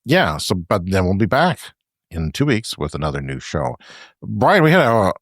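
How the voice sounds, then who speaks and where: Lively, chatty low-voiced man. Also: moderate pace, no echo, studio quality. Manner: chatty, laughs often between remarks. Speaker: podcast co-host. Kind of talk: casual podcast chat. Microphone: remote podcast call.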